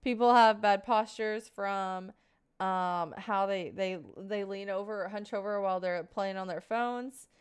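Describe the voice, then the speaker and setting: A woman's voice: mid-range voice, steady tone, measured pace, clean tone. A woman in her twenties talking casually on a live stream, answering viewer questions in a rambling, earnest way full of fillers. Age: 20s.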